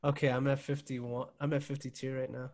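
A man's voice: mid-range voice, level tone, brisk, darkish tone. A man co-hosting a podcast, chatting casually while watching an old game, laughing often and joking. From Germany. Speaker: podcast co-host. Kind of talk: casual chat.

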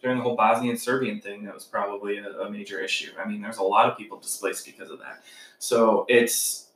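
A man's voice: lowish voice, fast talker, fairly bright tone, far from the mic. A young man from the United States in casual chat as a podcast co-host, rambling and musing with lots of filler words. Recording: roomy sound, set back from the mic.

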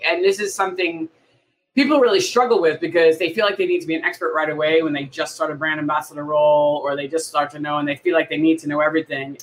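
A woman's voice: sits low, a little hiss or hum, low-quality recording. A woman in her forties hosts an interview podcast over a video call. She keeps things upbeat, laughing often as she guides her guests. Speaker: podcast host. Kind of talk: interview hosting.

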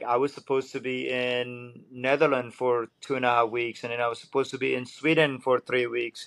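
Guest coach, earnest, level-toned, dry sound. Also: male voice, medium pitch, fairly bright tone, no room sound. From Indonesia. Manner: earnest, searching for words. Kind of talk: casual interview.

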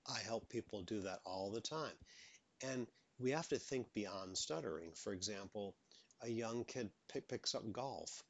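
A man's voice: lowish voice, measured pace. A man who stutters openly, giving motivational advice as a stuttering coach. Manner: stutters openly, repeats words.

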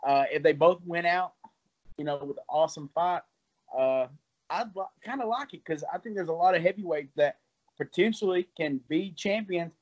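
A man's voice: a little high, moderate pace, dull audio. A man in his twenties, a fighter, talking casually in an interview in a loose, rambling way with some laughs. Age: 20s.

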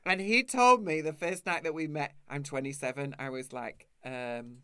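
A man's voice: on the high side, normal speed, sharp tone, clean tone, no echo. A man in his thirties hosting a camp, chatty video-call conversation and laughing often. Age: 30s. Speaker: host. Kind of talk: casual chat over video call.

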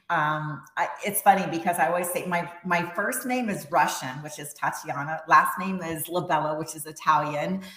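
Podcast guest: upbeat woman who grew up in Texas, lower voice, laughs a lot, roomy echo. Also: a bit bright. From USA, Texas. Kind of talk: casual podcast interview.

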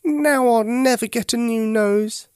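A storyteller voicing an earnest, forlorn little male toy bear in a plaintive, childlike, expressive way for a children's story. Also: medium pitch, a little slow, clean tone, no room sound, studio quality, voice pushed up, close to an everyday voice. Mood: dejected.

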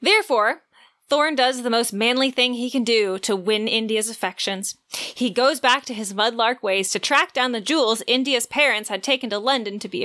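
A woman's voice: a little high, bright, forceful tone. A woman co-hosting a podcast, retelling a story in a playful, informal way. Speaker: podcast co-host. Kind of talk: lively retelling of a romance novel plot.

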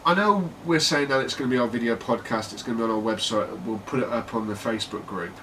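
A man's voice: medium pitch, fast talker. A man with a British accent co-hosting a casual tech podcast, chatty and jokey, often breaking into laughter. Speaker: podcast co-host. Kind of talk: casual chat. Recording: distant mic with faint noise.

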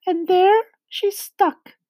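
Squeaky female spider, extremely high, fretful, tearful, dramatic storybook lament. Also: fairly even intonation, takes it slowly, darkish tone. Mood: fretful, distressed. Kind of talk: children's storybook character voice.

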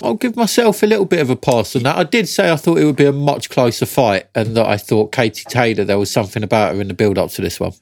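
A man's voice: mid-range voice, fast, gravelly, few pauses, a little mellow, no room sound. A man co-hosting a casual boxing podcast, easygoing and matey as he gives his views. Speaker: podcast co-host, boxing pundit.

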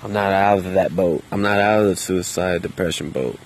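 A man talking to himself in a park in a quiet, subdued, hesitant way, with many restarts and casual swearing. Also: sits low, brisk, slight background noise. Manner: loose and conversational with casual swearing. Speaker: vlogger. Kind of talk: casual solo monologue. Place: outdoors in a park.

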